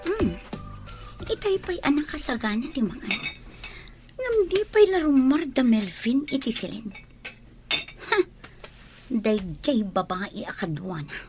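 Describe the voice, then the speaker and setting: A woman's voice: on the high side, big pitch swings, measured pace, pauses often, over music. An actress playing a put-upon young serving woman with an Ilocano accent in a radio drama. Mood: resentful, grumbling.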